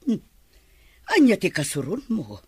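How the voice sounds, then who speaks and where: A Filipino woman playing a curious, gently chiding middle-aged mother in a radio drama. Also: fairly low pitch, wildly swooping pitch, unhurried, breathy edge, dry recording, heavily acted. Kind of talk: Ilocano radio drama acting.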